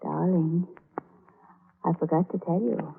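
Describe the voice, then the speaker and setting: An actress playing a sweetly menacing, unhinged murderous wife in a melodramatic 1940s radio thriller, cooing endearments with intense emotion. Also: female voice, on the low side, fairly even intonation, slow pace, gravelly, husky, full character voice. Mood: coolly menacing. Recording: old tinny radio recording, noisy.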